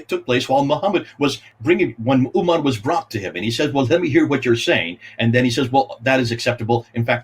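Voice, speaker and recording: A man's voice: medium pitch, slightly rough. A man speaking as a guest in a religious debate, arguing in an emphatic, insistent way. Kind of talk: argumentative religious debate talk.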